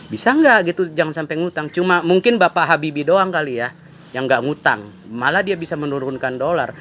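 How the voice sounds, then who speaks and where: A man's voice: a little high, fairly bright tone, a little hiss or hum. A man with an Indonesian accent giving an informal, exhorting monologue, repeating his points for emphasis. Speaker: musician and online vlogger. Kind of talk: informal opinion monologue to camera.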